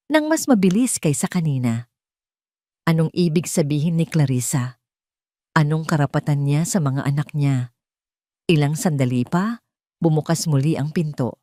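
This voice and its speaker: A woman's voice: sits low, warm tone, no echo, studio quality. A woman reading scripted narration for a romance audio drama.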